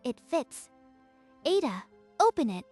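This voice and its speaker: A high, youthful female voice from an actress in a romantic manga dub, gentle and supportive. She speaks with earnest concern over clearly audible music. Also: very animated, takes it slowly, heavily acted. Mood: hushed wonder. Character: Akane, gentle supportive young woman, bakery companion. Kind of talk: dramatic manga dub dialogue. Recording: music behind the voice.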